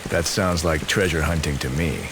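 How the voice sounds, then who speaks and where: Deep, gruff jaded mercenary. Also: male voice, moderate pace, heavy background noise. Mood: wry. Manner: terse, gruff, wry. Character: gruff, proud mercenary man, wry and jaded. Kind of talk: dubbed video game cutscene acting.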